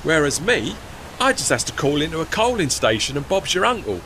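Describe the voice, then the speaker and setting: An actor voicing a chummy, unschooled working steamboat in an audio play, blunt and colloquial, with a London Cockney accent. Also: male voice, a little high, very animated, brisk, bright, forceful tone, a little hiss or hum, heavily acted, low-quality recording. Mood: breezy. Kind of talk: audio drama dialogue.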